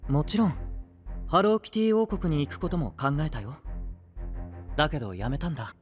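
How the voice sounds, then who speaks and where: An actor playing a gentle, earnest young knight who leads a council in a polite way, in an anime-style voice drama. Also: male voice, fairly high pitch, very animated, moderate pace, soft background music. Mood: earnest, composed.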